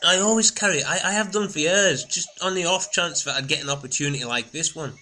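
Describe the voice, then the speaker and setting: A man playing a whiny, excitable castaway in an improvised comedy audio drama, protesting as he talks. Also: fairly high pitch, fast talker, slight background noise.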